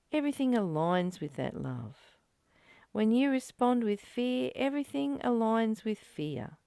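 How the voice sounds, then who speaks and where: A woman's voice: medium pitch, creaky, a little mellow, dry recording, studio quality. A woman giving a spiritual teaching, reading aloud and reflecting in an earnest, emphatic manner. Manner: earnest, emphatic, with rhetorical questions and repeated phrases. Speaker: spiritual teacher leading a study of a course text.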